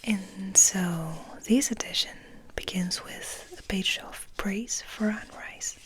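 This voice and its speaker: A woman whispering softly and slowly as an ASMR host, in a hushed manner with gentle pauses. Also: medium pitch. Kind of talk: whispered, soft-spoken ASMR. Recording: noticeable background noise.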